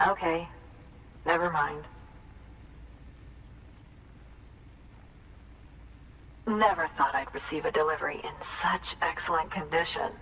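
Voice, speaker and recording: An actress playing an earnest, grieving woman researcher in a video game cutscene, speaking in a halting, heartfelt way. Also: fairly low pitch, fairly bright tone, far from the mic, slight background noise, rough recording. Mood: guarded, curious. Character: earnest, grieving woman researcher carrying on her late father's work.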